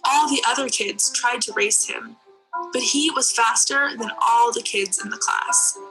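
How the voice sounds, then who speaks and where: A woman reads a children's story in a bright, crisp-edged voice. Her pitch sits a little high, and music plays underneath the narration. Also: unhurried, rough recording. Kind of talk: children's story read-aloud with character dialogue.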